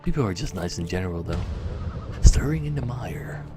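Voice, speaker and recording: A man's voice: low voice, animated, normal speed, over music. A man livestreaming a game, switching between chatty commentary to his viewers and reading story text aloud as a narrator. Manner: chatty, talking to live viewers.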